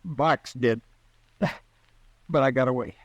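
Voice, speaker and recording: An actor playing a wounded, defiant and bitter cowboy in an old-time radio western, sullen and folksy. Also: male voice, medium pitch, slow, no room sound. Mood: pained, defensive.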